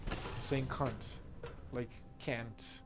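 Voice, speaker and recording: A man's voice: medium pitch, fairly even intonation, drawn-out words, over loud music. A Brazilian man with a Brazilian Portuguese accent, casually commenting on a game he is playing and chatting with his stream viewers, reading the game text aloud under his breath and swearing freely. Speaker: gameplay streamer.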